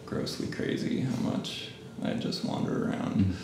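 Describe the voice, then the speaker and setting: Gravelly-voiced man, hesitant and trailing off. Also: a bass voice, huge pitch swings, measured pace. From Japan. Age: late 30s. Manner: soft, trailing off, hesitant with ums. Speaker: guest photographer. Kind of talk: casual interview. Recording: echoey room, mic at a distance, noise.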